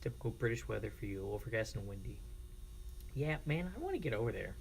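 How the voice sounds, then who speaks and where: An American man streaming casually, chatting informally and reacting to viewers in a creaky, gravelly voice. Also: fairly low pitch, very animated, fast, slight background noise. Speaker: live streamer. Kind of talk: casual livestream chat with viewers.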